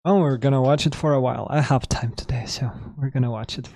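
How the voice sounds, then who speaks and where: A man with a sore, hoarse throat hosting a live trading stream, thinking aloud in halting, self-correcting commentary. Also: medium pitch, warm tone, dry recording, clean studio sound. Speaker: trading livestream host.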